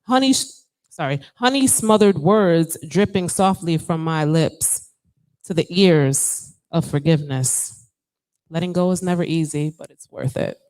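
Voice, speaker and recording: A woman's voice: lowish voice, a little slow, a little mellow, poor audio. A woman poet who jokes playfully, then reads her spoken word poem. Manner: playful, joking self-promotion. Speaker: poet and author. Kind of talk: spoken word poetry reading.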